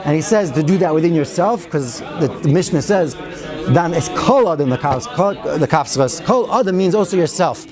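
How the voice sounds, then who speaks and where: A man with a fairly high voice teaches an informal Torah class, circling back and restarting his thoughts and dropping in wry asides. The murmur of listeners gathered in the hall is clearly audible behind him. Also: lively intonation, moderate pace, music in the background, crowd noise. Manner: chatty and digressive, with frequent restarts and Hebrew and Yiddish terms. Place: synagogue study hall with a group of listeners.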